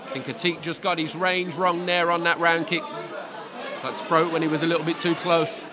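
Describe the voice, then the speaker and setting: A man with a British accent commentating a kickboxing bout, talking in an analytical, measured way and often raising his voice over the crowd. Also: a little high, flat delivery. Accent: British English. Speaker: fight commentator. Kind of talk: ringside commentary. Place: function hall at a kickboxing event. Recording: loud crowd and hall noise behind.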